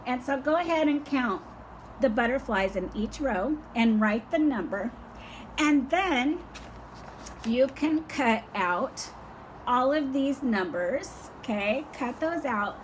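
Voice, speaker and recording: An older woman teaching a small child outdoors, speaking slowly and patiently with encouragement, her voice going hoarse. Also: high-pitched, expressive, slight background noise, low-quality recording. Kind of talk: home lesson for young children.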